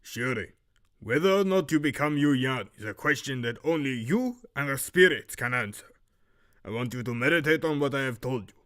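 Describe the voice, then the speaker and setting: A man voicing a stern master archery instructor with a muddled, Romanian-like Eastern European accent, lecturing in a measured, severe way for an audio drama. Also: mid-range voice, lively intonation, a bit bright, lightly acted. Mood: grave, commanding. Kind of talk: fan audio drama character acting.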